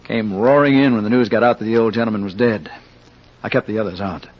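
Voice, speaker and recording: A man's voice: on the low side, fairly even intonation, quick pace, lightly acted. Earnest young suitor played by a man. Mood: uneasy, matter-of-fact. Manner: urgent, colloquial, earnest. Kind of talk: 1940s radio acting. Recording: muffled old radio recording with faint noise.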